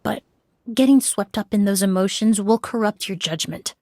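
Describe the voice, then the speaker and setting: A woman voicing a composed, analytical investigator in a video game, with a measured, reflective and articulate delivery. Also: mid-range voice, warm tone, slight background noise, lightly acted. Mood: calm, solemn. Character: composed, analytical woman, crime scene cleaner turned investigator.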